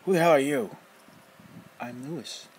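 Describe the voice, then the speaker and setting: A man's voice: medium pitch, animated, normal speed, a little mellow, slight background noise, voice pushed up, natural voice, low-quality recording. A man voicing a bitter, guarded young boy in an audiobook reading. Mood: wary. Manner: sullen, guarded. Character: scrawny bitter boy, wary and resentful.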